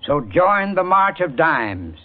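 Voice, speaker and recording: Highish-voiced man in a vintage radio commercial. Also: big pitch swings, takes it slowly. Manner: folksy, instructive, friendly address. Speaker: radio advertising spokesman, heating expert. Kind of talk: scripted 1940s radio commercial. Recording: muffled old radio broadcast.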